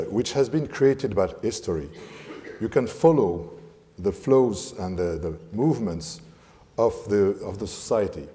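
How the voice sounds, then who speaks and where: A man with a French accent talks through a panel discussion, pausing often to find the English word. His voice sits low and mellow. It carries the long reverb of a conference hall. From France. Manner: halting, searching for English words.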